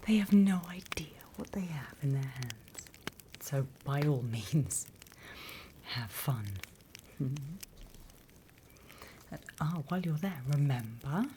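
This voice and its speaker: A British woman playing a sly demon instructor in a whispered ASMR roleplay, unhurried, wry and smugly scornful of humans. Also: low voice, expressive, a little hiss or hum. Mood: sly, amused. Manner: unhurried, hushed, teacherly, wry.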